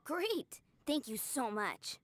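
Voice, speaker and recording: Eager young girl knight-in-training, high voice, earnest and bubbly, video game dialogue. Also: very animated, takes it slowly, a little hiss or hum, full character voice. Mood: earnest, pleading. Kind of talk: English video game voice acting.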